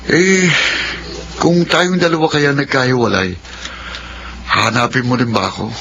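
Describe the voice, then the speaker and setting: Young teasing elder brother, conversational radio drama, sharp bright tone, dull lo-fi recording. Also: male voice, mid-range voice, steady tone, unhurried, vocal fry. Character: teasing young adult son, protective older brother. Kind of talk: Filipino radio drama acting. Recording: dull lo-fi radio, background noise.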